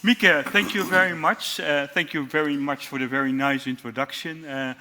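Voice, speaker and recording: A middle-aged man with a Dutch accent, a lecturer moderating a student panel in a friendly, halting way with many fillers. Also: a little high, steady tone, background noise.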